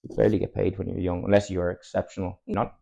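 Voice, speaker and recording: A young Irish man in his twenties, a native Irish speaker, talks at a rapid clip in a low, gravelly voice. He rambles candidly through his answers and chuckles often as a podcast guest. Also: level tone, warm tone. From Ireland, Cork. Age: 20s. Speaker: footballer guest. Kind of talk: casual podcast chat.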